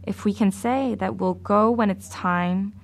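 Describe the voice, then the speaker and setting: Slow, gravelly woman preaching a sermon. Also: medium pitch, fairly even intonation. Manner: slow, measured and deliberate.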